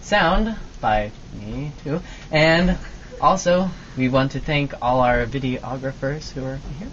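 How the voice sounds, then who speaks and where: A man's voice: mid-range voice, slow pace, a bit bright. A man announcing an informal sign-off for an amateur radio show. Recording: dull amateur recording with noise.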